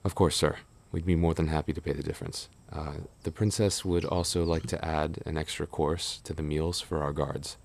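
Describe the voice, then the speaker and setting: A man playing a devoted, easily flustered young knight in an intimate roleplay audio drama, speaking politely and haltingly with soft attentiveness. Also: a bass voice, steady tone, vocal fry, full character voice. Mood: courteous, formal.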